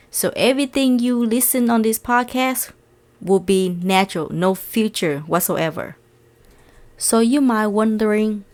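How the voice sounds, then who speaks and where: A woman from Vietnam with a Vietnamese accent and a light Southern US twang, earnestly hosting a solo podcast in slightly non-native English. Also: medium pitch. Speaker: podcast host.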